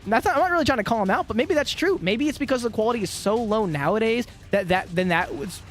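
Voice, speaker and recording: A man's voice: very high-pitched, animated, quick pace, fairly bright tone. A man in his twenties rambling in casual gaming chat, swearing and laughing often, with frequent restarts. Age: 20s. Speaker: co-host playing a video game. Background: game music and sound effects.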